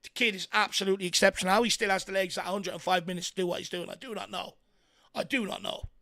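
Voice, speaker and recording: An Irish man hosting a fan watchalong, giving excitable partisan live commentary on a football match with a croaky, worn voice. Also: high-pitched, fast talker. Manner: excitable partisan, shouts encouragement at players. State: voice going croaky from hours of talking.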